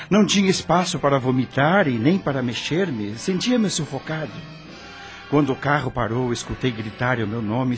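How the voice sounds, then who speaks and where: An actor playing a dead rock star in a radio drama, tormented and regretful, with a solemn, theatrical Brazilian delivery. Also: male voice, medium pitch, natural voice. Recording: music under the voice.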